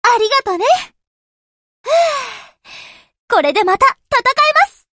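Very high-pitched perky anime girl. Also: animated, a little slow, pushed and bright, dry recording, studio quality, full character voice. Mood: cheerful. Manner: girlish, perky, sweetly familiar. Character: cheerful, earnest young anime warship girl, devoted secretary. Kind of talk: Japanese game voice acting, short scripted lines.

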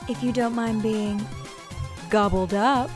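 A woman plays a sweet but menacing spider baker in a fan-voiced game, high and sugary, with slow, purring delivery. Her teasing lines coo with hidden threat. Game music plays faintly behind. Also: fairly even intonation.

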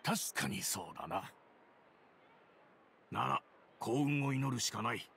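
An actor playing a weary, cautious older male business partner in a game, speaking in a measured, admonishing way. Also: mid-range voice, expressive, gravelly, a bit bright, a little hiss or hum, full character voice. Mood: admonishing, resigned. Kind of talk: Japanese game voice acting.

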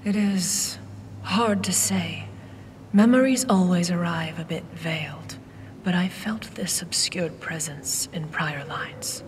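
An actress playing a solemn, troubled mystic woman in a fantasy audio drama, halting, breathy and formal. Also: lowish voice, noisy background. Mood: hushed, uneasy.

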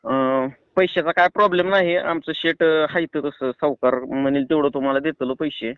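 A man's voice: fairly high pitch, steady tone, normal speed, clear, resonant tone, no room sound. A man with a rural Maharashtrian Marathi accent chatting casually on the phone, persuasive and reassuring. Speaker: prank caller. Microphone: phone line. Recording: tinny phone call recording.

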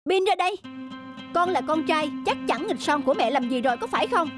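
A strict stepmother in a children's cartoon, pitched high. She is stern and quick to scold, though loving underneath. Music plays clearly behind her. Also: animated. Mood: angry scolding. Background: background music.

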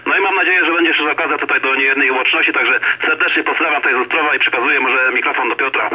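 A husky man of medium pitch exchanges polite greetings as a radio operator. The sound is dull and lo-fi. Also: rapid-fire. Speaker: newer CB radio club operator.

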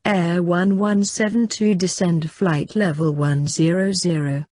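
Woman for air traffic control lesson, slightly low pitch, unhurried formulaic read. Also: fairly even intonation, mellow, dry recording. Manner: measured, formulaic.

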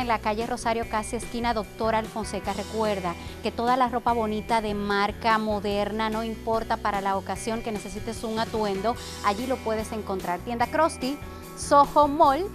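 Upbeat woman hosting a talk show. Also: a little high. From Dominican Republic. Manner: upbeat, friendly, with filler pauses. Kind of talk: chatty interview and live sponsor plugs.

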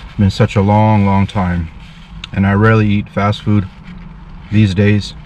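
A man's voice: on the low side, little pitch movement, darkish tone, slight background noise. Earnest preacher man vlogging in a car. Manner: slow, earnest, pious, slightly formal. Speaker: street preacher. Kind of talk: casual vlog with preaching asides. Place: parked car, indoors. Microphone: dash camera in front of him.

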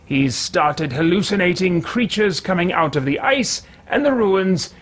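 A man's voice: high voice, lively intonation, measured pace. A man in his thirties roleplaying a formal, meticulous, irritable wizard adventurer in a video game, with stilted theatrical speech. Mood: measured, reading aloud. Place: small room at home with shelves.

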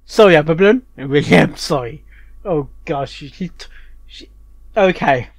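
A man hosting a reaction video in casual, rambling commentary, haltingly repeating and restarting his phrases. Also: a little high, lively intonation, no echo. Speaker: reaction channel host.

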